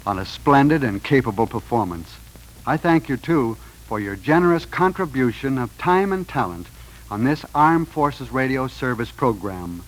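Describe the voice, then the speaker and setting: A man's voice: mid-range voice, rough recording. A man hosting a 1940s radio program in a polished, formal announcing style. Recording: old radio recording, faint noise, crowd.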